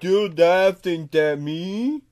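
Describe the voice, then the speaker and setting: A man playing a childlike, confused, easily upset grown man on a prank call, halting and repeating words insistently. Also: high-pitched, darkish tone. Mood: timid, plain.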